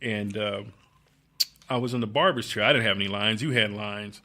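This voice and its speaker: A man's voice: fairly low pitch, moderate pace, sharp tone. A man hosting a casual interview with an easygoing, chatty manner and frequent filler words. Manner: easygoing, laughs often, many fillers. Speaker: podcast host.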